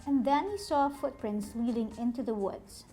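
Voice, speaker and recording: A woman reading a children's picture book aloud expressively, in a deliberate, animated storytelling manner. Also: fairly high pitch, soft background music.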